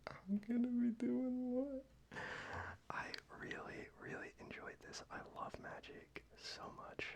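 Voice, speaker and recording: A man talking through his card collection in a soft-spoken ASMR video, mostly whispering in a relaxed, casual way with the odd swear word. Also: very high voice, measured pace, gravelly. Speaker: ASMR creator. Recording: slightly dull, faint background noise.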